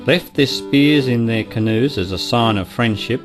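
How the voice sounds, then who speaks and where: Male narrator for historical quotations, mid-pitched, with plucked guitar clearly behind him. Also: moderate pace. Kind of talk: reading historical quotations aloud. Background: guitar music.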